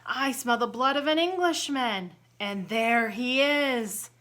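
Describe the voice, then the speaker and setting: A woman voicing a big, hungry male giant who chants his threats loudly in a storybook reading. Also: takes it slowly. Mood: roaring. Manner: loud booming chant.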